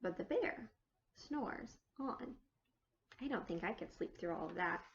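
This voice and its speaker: A woman teacher reading a children's storybook aloud, slowly and expressively, for young children. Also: medium pitch, expressive, highs cut off, noisy background. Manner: slow, dramatic delivery for young children.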